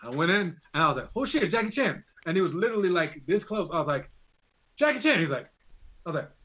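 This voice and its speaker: A man's voice: sits high, big pitch swings, fast, pushed and bright, rough recording. A man guest on a casual online chat, telling a playful story, quoting himself with jokey asides. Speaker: guest, voice actor.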